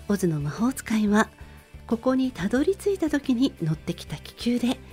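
A woman's voice: lowish voice, mellow. A woman host narrating a scripted story synopsis in radio-drama style, phrase by phrase with expression.

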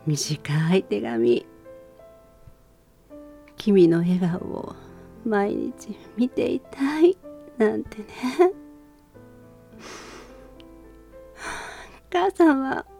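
Woman acting as a tender, halting dying mother. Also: mid-range voice, expressive, mellow, faint music behind, full character voice. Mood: fond, reminiscing. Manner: tender, breathy, halting, near whisper at times. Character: gentle dying mother reminiscing to her son. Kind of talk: sentimental radio drama acting.

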